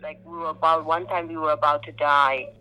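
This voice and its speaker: An older woman from Afghanistan recounting her family's life in a hesitant phone interview, with many pauses and fillers. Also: deep voice, level tone, sharp tone, faint music behind, low-quality recording. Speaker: interviewee, refugee mother. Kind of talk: phone interview, personal account.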